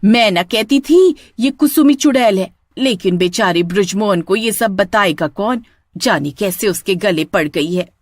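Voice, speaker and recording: A woman's voice: mid-range voice, expressive, unhurried, no room sound. A woman voicing a gossipy, scandalized village neighbour in a horror story. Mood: indignant.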